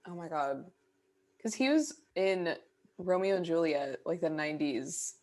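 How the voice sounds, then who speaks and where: A woman's voice: on the low side, animated, a little slow, a little mellow. A young woman co-hosting a casual podcast chat, musing aloud and trailing off as she searches for words. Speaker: podcast co-host.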